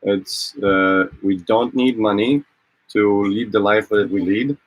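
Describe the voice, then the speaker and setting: Low-voiced Polish man, halting livestream chat. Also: no echo, low-quality recording. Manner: hesitant, many fillers and restarts. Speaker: co-host of a sailing channel livestream. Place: on a boat.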